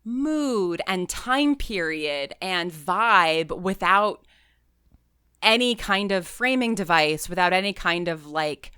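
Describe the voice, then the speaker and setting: A woman chatting casually as an interview guest, animated and conversational, often checking in with "right?". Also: lowish voice, slow pace, clear, resonant tone, dry recording, clean studio sound. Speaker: interview guest, fiction podcast writer.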